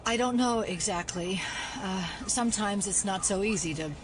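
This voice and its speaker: A woman's voice: mid-range voice, normal speed, lightly acted, rough recording. An actress playing a serious, guarded and determined female federal agent in an audio drama, restrained and earnest. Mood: bewildered.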